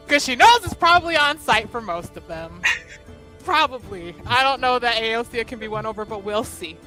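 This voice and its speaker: A woman's voice: a little high, sing-song, a little slow, long pauses, bright, forceful tone, poor audio. A woman introducing herself casually as a tabletop game player, wry and informal. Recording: music behind the voice. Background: music.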